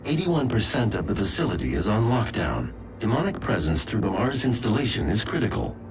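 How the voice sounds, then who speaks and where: A man voicing a calm, precise artificial intelligence in a video game, giving formal, clinical status reports in a synthetic-sounding voice. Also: fairly low pitch, a little fast. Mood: clinical reporting.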